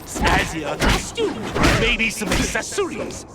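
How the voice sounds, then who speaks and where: A man's voice: high voice, wildly swooping pitch, takes it slowly, heavily acted. A male actor voicing a bitter, spiteful young rich-heir villain in a video game, with a volatile, sneering delivery. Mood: mocking, gloating. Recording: loud music and action behind the voice. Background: loud game soundtrack.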